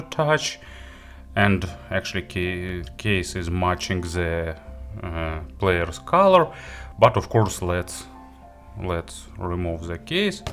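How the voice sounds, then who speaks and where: A man giving a casual gadget review and unboxing, halting and hesitant as he thinks aloud. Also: low-pitched, a bit bright. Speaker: audio gear reviewer.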